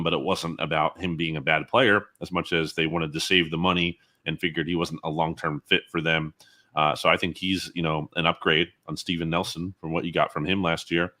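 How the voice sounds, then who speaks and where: A man speaking as a guest sports analyst in a football interview, measured and analytical. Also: sits low, sharp tone.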